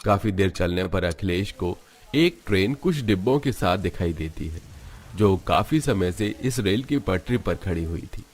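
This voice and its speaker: Low-pitched male story narrator. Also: animated, a little slow. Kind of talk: scripted storytelling narration for animated family drama.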